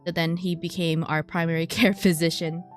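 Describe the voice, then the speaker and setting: A woman's voice: lowish voice, level tone, moderate pace. A woman streamer playing a story game, reading its text aloud and adding casual commentary. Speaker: streamer, VTuber. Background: game music.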